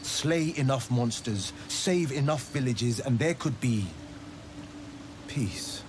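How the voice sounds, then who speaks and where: An actor playing an earnest, haunted monster hunter in a video game, speaking in a measured, solemn, confessional way. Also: male voice, mid-range voice, animated, background noise. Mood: rueful. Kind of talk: dramatic video game monologue.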